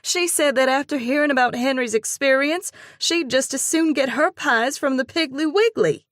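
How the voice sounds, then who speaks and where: A woman voicing an excitable, outraged young woman friend who talks in an indignant rush. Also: no echo, studio quality. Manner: rushed, indignant, high-pitched.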